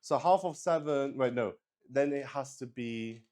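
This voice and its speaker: A man hosting a solo tabletop roleplaying game in casual, halting live-stream chat, thinking aloud and repeating words. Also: mid-range voice, darkish tone. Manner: swears casually.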